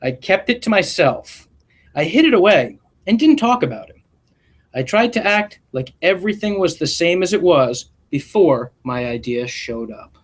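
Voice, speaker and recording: A man reading a picture book aloud like a principal to schoolchildren, with expressive, deliberate storytelling delivery and short asides. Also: high-pitched.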